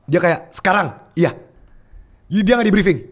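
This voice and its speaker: A young man chatting casually as a podcast guest, telling an animated story and mixing English phrases into his speech. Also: high-pitched, normal speed. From Indonesia.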